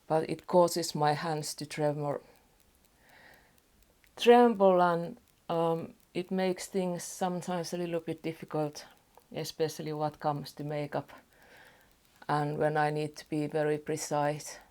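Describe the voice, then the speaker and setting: A woman with a Finnish accent, chatting casually at home as a beauty vlogger, soft-spoken and rambling with small restarts. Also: sits low, fairly even intonation, unhurried. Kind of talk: casual get-ready-with-me chat. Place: home apartment.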